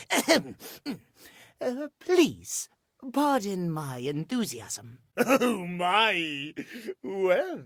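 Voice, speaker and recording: Prim living timepiece, high-pitched, swooping. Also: male voice, dry recording, clean studio sound, heavily acted. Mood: bashful, warm. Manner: formal, fussy, old-fashioned gentlemanly diction; theatrical, emotional swings. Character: prim, lovestruck, anxious male living timepiece, fussy about schedules. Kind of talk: video game character acting.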